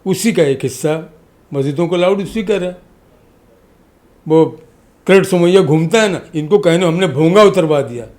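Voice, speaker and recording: A man's voice: a little high, moderate pace, pauses often, a little mellow. A man talks as a podcast guest. He argues like an orator, stressing his points and stacking parallel phrases for weight. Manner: emphatic, oratorical, repeats phrases in parallel for effect. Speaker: podcast guest, community activist. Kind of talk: impassioned political interview talk.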